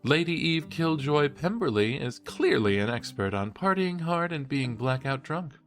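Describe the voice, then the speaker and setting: A man's voice: a little high, sing-song, moderate pace, fairly bright tone, faint music behind. A man playing a cheeky, eager young college true-crime podcaster in an audio drama, with a playful, theatrical delivery. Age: young adult. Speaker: podcast co-host. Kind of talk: scripted banter in a horror audio drama.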